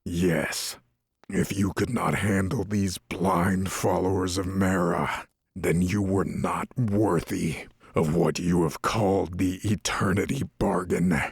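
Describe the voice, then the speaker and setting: A man playing a cold, imperious god of necromancers in a fantasy audio drama, with a deep, gravelly voice. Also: fairly even intonation, no room sound, studio quality, full character voice. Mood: cold, commanding. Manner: slow, imperious. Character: dark male god of necromancers, cold and commanding.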